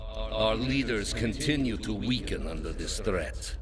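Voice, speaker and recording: A man playing a menacing Soviet spymaster in a video game, delivering a measured, solemn, declamatory monologue. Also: fairly low pitch, animated, a crowd in the background. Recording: loud music bed under the voice.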